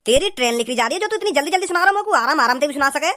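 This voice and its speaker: Someone doing a strict cartoon sir at school, high voice, talks quick, impatient and stern. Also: lively intonation, pushed and bright. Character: strict, scolding bald male schoolteacher in a cartoon. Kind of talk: comic cartoon dialogue.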